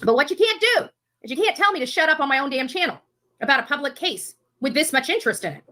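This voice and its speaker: A woman's voice: sits high, lively intonation, fast talker, bright, forceful tone. A woman commentator giving an impassioned monologue to her viewers, emphatic and indignant, repeating herself for force. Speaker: YouTube commentator.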